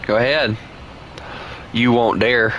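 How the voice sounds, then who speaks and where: A man plays an online flight game with a friend and chats the whole way through, joking and needling his buddy. His voice sits in a middle pitch with a bright, forward edge. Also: fairly even intonation, slow pace, a little hiss or hum, poor audio. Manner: playful, teasing, jokey. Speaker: gaming streamer. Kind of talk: casual gameplay chat with a friend.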